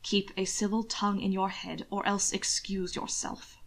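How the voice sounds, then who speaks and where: A woman's voice: medium pitch, flat delivery, normal speed, vocal fry. A woman voicing a proud, irritable young aristocrat with clipped, sardonic formality, in an audiobook reading. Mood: annoyed. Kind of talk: amateur audiobook character reading.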